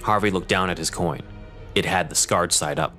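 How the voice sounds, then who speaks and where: A man's voice: low voice, moderate pace, pushed and bright, soft background music. A man reading scripted dramatic narration as the narrator of an audio drama.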